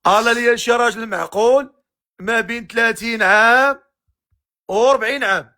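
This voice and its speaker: A man with a Moroccan accent hosting a matchmaking live stream, teasing guests with quick-fire questions. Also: very high voice, pushed and bright.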